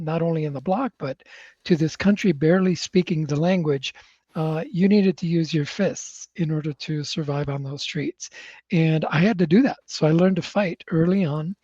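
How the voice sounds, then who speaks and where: A man with a slightly high voice and Spanish as his first language speaks as a podcast guest. He tells his story in a measured, reflective, conversational way with many pauses. The recording is dry and a little dull. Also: darkish tone, husky, no room sound. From born in Chile, grew up in the United States.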